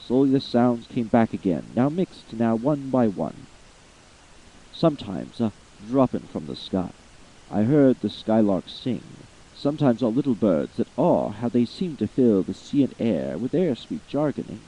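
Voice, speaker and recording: A man giving a slow, theatrical reading of a long narrative poem, swelling with feeling at the high points. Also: very animated, warm tone, breathy edge, muffled recording. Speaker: amateur voice actor reading poetry. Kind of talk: dramatic poetry reading.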